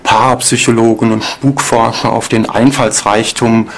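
Guy being interviewed, gravelly mid-range voice, explaining stuff, bit of noise. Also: medium pitch, level tone, moderate pace. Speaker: sceptical investigator of hauntings.

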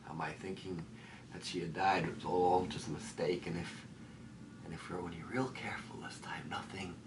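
A man acting a dramatic stage monologue as a grieving, haunted man, speaking haltingly and intensely with repeated phrases. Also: low voice, steady tone, background noise, close to an everyday voice. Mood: haunted, hushed grief. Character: grieving haunted man tormented by dreams of lost woman. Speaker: stage actor. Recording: echoey room, distant microphone.

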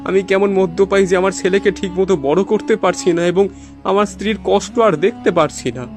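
A man acts out a poor, honest cartoon boatman with a somewhat high voice. He sounds earnest and plaintive, a humble family man from a fairy tale, with music underneath. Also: a little fast, close to an everyday voice. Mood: sad, plaintive. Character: poor, honest, sorrowful boatman, husband and father.

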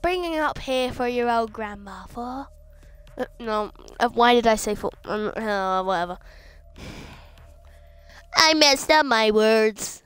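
Bright high-voiced jokey woman gamer. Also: slow, long pauses, clean tone. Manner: chatty, jokey, shouts excitedly. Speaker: gameplay streamer. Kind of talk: casual gameplay chat.

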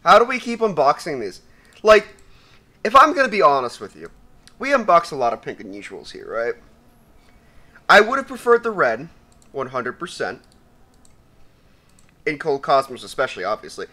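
A young man with a raspy voice giving casual, profane commentary while opening loot, swinging between mumbling and shouting. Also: a little high, very animated, moderate pace, long pauses. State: raspy from sneezing. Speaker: gaming YouTuber.

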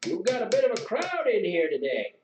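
A folksy old villager, acted by a male narrator, sociable and outspoken, talks in a rustic, colloquial way. His voice is pitched up, in a roomy storybook recording with clear noise. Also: wildly swooping pitch, fairly quick. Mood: friendly, nudging. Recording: roomy, distant mic, noisy with clicks.

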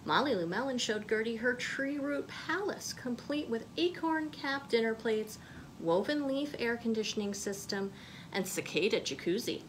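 A woman reads aloud as a children's librarian at storytime. Her voice is a little high and full of lift and fall, with expressive phrasing. It is recorded indoors at about arm's length, with faint noise. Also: moderate pace, poor audio. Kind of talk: picture book read aloud to children. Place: small indoor room.

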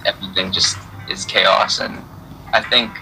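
A young man speaks over a video call from an indoor room. His voice sits low yet sounds very bright. He talks in a relaxed, halting way, full of fillers. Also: creaky, a little hiss or hum, poor audio. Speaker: guest musician. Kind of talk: casual interview answers.

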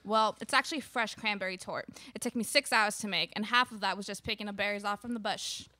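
A woman's voice: mid-range voice, quick pace, sharp tone, no echo, close to an everyday voice. A young woman playing a gushing, over-sweet aunt in a radio play. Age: young adult. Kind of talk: live radio theater acting, scripted. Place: small studio, seated at table with others. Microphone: stand microphone up close.

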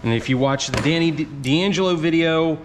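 A man's voice: a little high, normal speed. A man casually narrating as he collects money from his laundromat, talking to viewers in an offhand running commentary. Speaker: laundromat owner filming a vlog. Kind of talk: casual vlog narration while working. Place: small indoor laundromat. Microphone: head or chest-mounted action camera. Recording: noisy action camera audio with clicks.

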